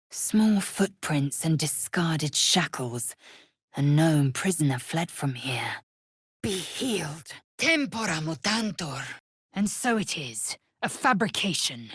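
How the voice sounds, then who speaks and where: A woman playing a proud, fierce githyanki warrior in a fantasy video game, with a husky, raspy voice and a clipped, imperious, formal delivery. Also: deep voice, no echo, clean studio sound, exaggerated voice. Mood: brusque, observant.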